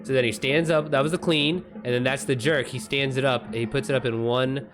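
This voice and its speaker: A man's voice: mid-range voice, steady tone, brisk, music in the background. A man chatting casually on a livestream, giving loose, informal running commentary and swearing freely. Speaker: live streamer.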